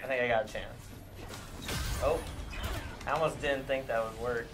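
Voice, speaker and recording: A man with a medium-pitched voice makes casual remarks during a game. Music plays clearly behind him. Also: fairly even intonation, normal speed. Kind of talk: casual chat.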